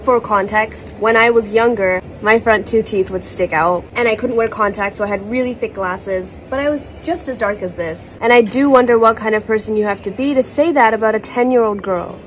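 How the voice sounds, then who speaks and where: A woman's voice: a little high, brisk, hardly any pauses, a little hiss or hum, poor audio. A young woman whose first language is Hindi, telling a personal story to a phone held at arm's length on a balcony, candid and indignant. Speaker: content creator. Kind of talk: casual storytelling to phone camera. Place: outdoors on a city balcony.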